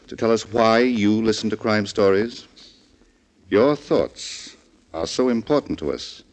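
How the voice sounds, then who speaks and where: Low-voiced 1940s radio mystery host. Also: male voice, a little mellow. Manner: polite, formal, direct appeal to listeners. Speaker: host, in character as club librarian. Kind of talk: 1940s radio scripted hosting and narration. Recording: muffled 1940s radio recording.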